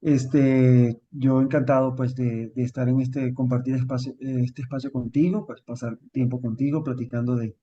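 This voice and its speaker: A man's voice: medium pitch, flat delivery, normal speed, few pauses, slight background noise. Venezuelan-Mexican accented man, dull call audio. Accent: Venezuelan with Mexican touches. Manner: thoughtful, many fillers like "este" and "pues". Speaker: author guest. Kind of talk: interview.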